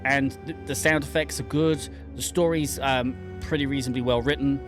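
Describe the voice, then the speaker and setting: A man's voice: medium pitch, fairly even intonation, moderate pace, over music. A man hosting a gaming channel, giving casual, wry commentary on a game. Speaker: gaming channel host playing an indie horror demo. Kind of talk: casual game commentary and first-look review.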